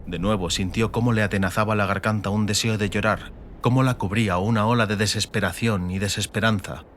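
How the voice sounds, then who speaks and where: A man with a fairly low voice narrates a fantasy audiobook. His delivery is scripted and dramatic, with a slight rumble of noise underneath. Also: fairly even intonation, brisk, fairly bright tone.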